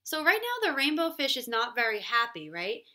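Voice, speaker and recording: A woman in her twenties, a teacher reading aloud and explaining a story to children in a deliberate way. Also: fairly high pitch, huge pitch swings, sharp tone. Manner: deliberate, explanatory, checks in with "right?". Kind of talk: children's read-aloud lesson. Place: small room at home, indoors.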